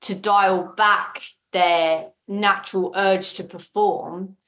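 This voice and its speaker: A woman's voice: mid-range voice, fairly even intonation, takes it slowly, a bit bright, poor audio. A woman speaking casually as an interview guest, explaining things emphatically and often repeating words for emphasis. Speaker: audio drama maker, podcast guest.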